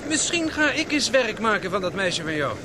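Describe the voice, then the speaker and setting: A man's voice: high voice, sing-song, moderate pace. An actor playing a jealous, touchy young man in a radio drama, needling and provocative. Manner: provocative, taunting. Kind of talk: Dutch radio drama acting. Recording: loud motorboat engine noise behind. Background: boat engine and water.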